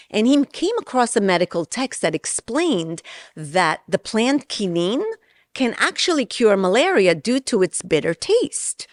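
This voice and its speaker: A woman's voice: medium pitch, no echo. A middle-aged woman from Israel and New York, an interview guest explaining her work in an earnest, conversational way. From born in Israel, raised in New York from age ten.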